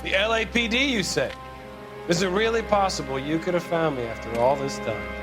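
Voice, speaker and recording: A man's voice: a little high, a little fast, close to an everyday voice. A man playing a cultured, taunting serial killer in a video game, speaking with florid, mocking politeness. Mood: mocking menace. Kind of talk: dramatic video game acting. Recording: loud music behind the voice.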